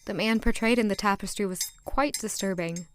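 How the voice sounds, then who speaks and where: Actress as young maid telling her own story, wistful n reflective, mid pitch, audio drama narration. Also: brisk, a little hiss or hum, close to an everyday voice. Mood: uneasy. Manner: reflective, descriptive. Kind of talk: first-person scripted drama narration.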